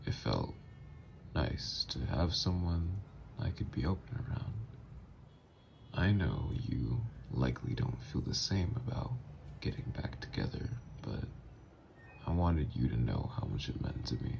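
Man playing a deep, gravelly flirty supervillain. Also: big pitch swings, measured pace, darkish tone, slight background noise, heavily acted. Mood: tender. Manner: teasing, calls listener babe. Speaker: roleplay voice actor. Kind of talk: ASMR roleplay audio.

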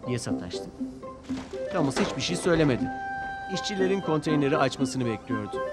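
A man's voice: on the high side, expressive, brisk. A man narrating a scripted story for children. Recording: music behind the voice.

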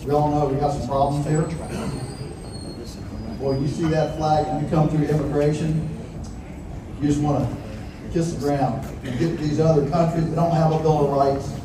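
A man's voice: on the high side, level tone, moderate pace, pauses often, noisy background. An older man giving a folksy, earnest public speech on stage. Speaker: keynote speaker. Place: large event hall stage. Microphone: handheld mic through PA. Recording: echoey PA in a large hall from far off.

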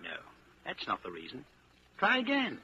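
An actor in a 1940s radio drama playing a coldly controlled party inquisitor, patient, precise and menacing. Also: male voice, on the high side, wildly swooping pitch, normal speed, breathy edge, heavily acted. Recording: dull, noisy old 1940s radio recording.

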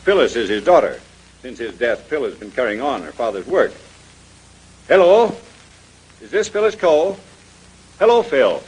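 An actor playing a courteous, cautious museum director in a 1930s radio drama. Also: male voice, mid-range voice, big pitch swings, normal speed, pauses often, darkish tone, slightly rough. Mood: urgent. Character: courteous, cautious museum director, middle-aged man of authority. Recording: noisy vintage radio transcription.

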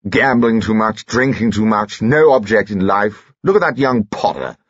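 A man's voice: fairly low pitch, animated, moderate pace, slightly rough, dry recording, highs cut off, voice pushed up. A man voicing a tall, pompous, soldierly middle-aged husband with a disapproving manner.

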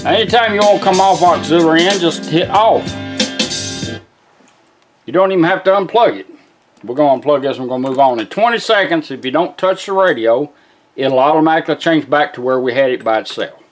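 Male, middle-aged, slightly high voice. Informal workshop how-to, step by step, faint music behind. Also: lively intonation, brisk. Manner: plain-spoken, step-by-step instruction. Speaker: vintage car radio technician demonstrating a product. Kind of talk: informal hands-on product demonstration. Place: small indoor workshop at a bench.

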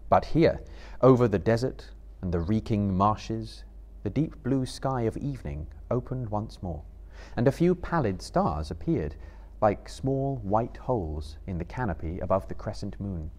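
A man in his thirties giving a live dramatic reading of literary narration in a measured, theatrical manner. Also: fairly low pitch, animated, a little mellow. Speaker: storyteller, reader. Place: indoor small stage before live audience.

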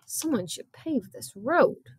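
A woman's voice: fairly high pitch, expressive, moderate pace, background noise, natural voice. A woman voicing a sarcastic young boy in a storybook, grumbling and muttering. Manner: groaning, muttering.

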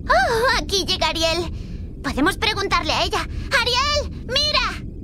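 A woman's voice: extremely high pitch, very animated, unhurried, background noise, full character voice. A woman voicing a sweet, helpful, bubbly young fairy in a video game. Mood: curious, eager. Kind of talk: video game character acting.

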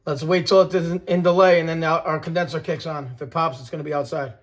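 Brisk, practical HVAC tech, higher-pitched man. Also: steady tone, dry recording. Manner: practical, gives quick instructions to a helper. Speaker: HVAC technician. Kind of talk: on-the-job service call vlog. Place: house and yard, indoors and outdoors.

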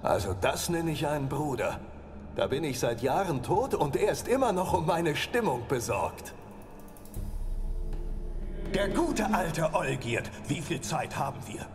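A man's voice: fairly high pitch, very animated, a little slow. An actor playing a boisterous, crude male ghost who mocks and demands to be entertained, in a video game dub. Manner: exuberant, crude, mocking. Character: boisterous male ghost, lewd pleasure-seeking nobleman. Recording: reverberant with music underneath.

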